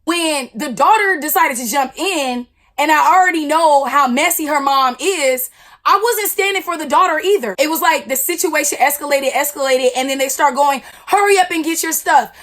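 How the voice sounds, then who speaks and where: A woman in her twenties, a YouTuber telling a storytime with a loud, blunt, slangy delivery. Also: high voice, normal speed. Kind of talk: storytime vlog.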